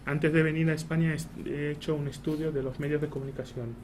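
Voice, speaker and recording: A man, a non-native Spanish speaker with an Argentine Spanish accent, gives a prepared public talk, pausing often on "eh" and restarting phrases. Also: fairly high pitch, level tone, darkish tone. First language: Hebrew. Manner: halting, many "eh" fillers and restarts. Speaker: embassy spokesperson giving a talk. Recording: noisy room recording.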